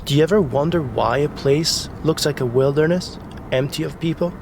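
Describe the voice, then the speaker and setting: A man's voice: a little high, moderate pace, gravelly, noisy background. A man reading scripted documentary narration as the film's narrator. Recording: music behind the voice. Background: background music.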